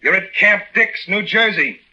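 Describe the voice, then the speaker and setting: A man playing a gruff American army sergeant in a 1940s radio drama, speaking in clipped, slangy soldier talk. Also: sits high, lively intonation, a little slow, pushed and bright, exaggerated voice. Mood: stern, barking. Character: gruff, bullying army sergeant with a softer side. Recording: dull, band-limited 1940s radio recording.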